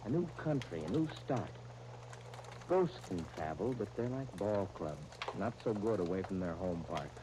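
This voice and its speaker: An actor playing a wise, loyal town doctor giving measured, folksy counsel in an old-time radio drama. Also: male voice, deep voice, lively intonation, noisy background. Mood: firm, advising.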